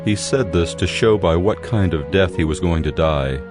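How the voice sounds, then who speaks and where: A man giving scripted Bible narration as a measured storyteller. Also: deep voice. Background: music.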